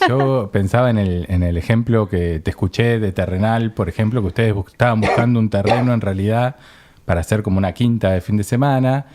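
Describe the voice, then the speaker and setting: A man's voice: lowish voice, fairly quick, darkish tone, a little hiss or hum. A man with a Rioplatense Argentine accent interviewing in a conversational way, circling tentatively toward each question. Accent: Rioplatense Argentine Spanish.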